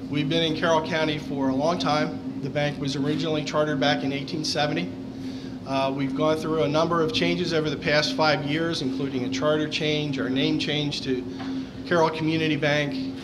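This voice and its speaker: A man, a bank president, giving formal, measured sponsor remarks at a live event. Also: a little high, low-quality recording. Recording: loud background noise.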